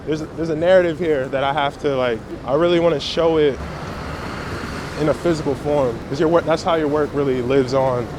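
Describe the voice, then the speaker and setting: A man's voice: a little high, fairly even intonation, a little fast. Slangy New man, noisy street. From Brooklyn, New York. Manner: slangy, conversational. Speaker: street photographer being interviewed. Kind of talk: casual chat. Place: outdoors on city streets. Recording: clear outdoor city traffic noise.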